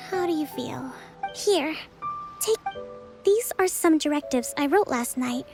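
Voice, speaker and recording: High-voiced, composed young priestess. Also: female voice, animated. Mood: earnest, composed. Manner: measured, thoughtful, formal. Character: young priestess leader, gentle, composed, burdened strategist. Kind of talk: English video game voice acting. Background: faint background music.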